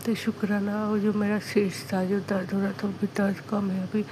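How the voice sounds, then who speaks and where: A woman with a sore, hoarse throat giving an earnest devotional testimony, repeating thanks in halting phrases. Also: medium pitch, flat delivery, background noise.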